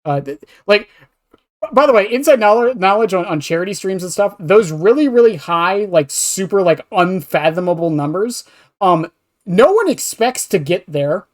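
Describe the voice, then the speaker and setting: A man's voice: sits high, lively intonation, normal speed. Self-deprecating man rambling in podcast chat. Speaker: streamer and podcaster.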